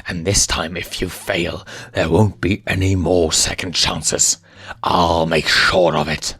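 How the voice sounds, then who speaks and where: A man voicing a menacing, contemptuous lion villain in a story, with raspy, drawn-out threats. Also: sits low, level tone, fairly bright tone, heavily acted. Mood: threatening.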